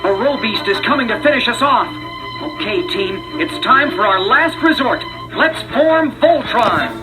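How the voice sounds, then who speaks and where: Young hero squad leader, high urgent voice, barking orders like a cartoon, music behind. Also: male voice, lively intonation, unhurried, poor audio. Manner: urgent, barked commands. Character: bold heroic young male space team commander. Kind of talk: cartoon action hero acting.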